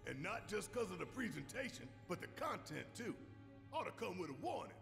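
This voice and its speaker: Very gravelly, scornful rebel fighter. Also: male voice, high voice, very animated, a little fast, exaggerated voice. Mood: gruff, scornful. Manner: blunt, streetwise. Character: gruff, scornful big male rebel fighter. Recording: reverberant space with music behind.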